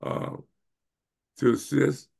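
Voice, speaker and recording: A man's voice: takes it slowly, a little mellow. A man in his seventies hosting an interview over a video call, talking in an emphatic, wandering way with many fillers. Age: 70s. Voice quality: gravelly, husky. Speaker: show host and professor. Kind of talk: casual interview talk.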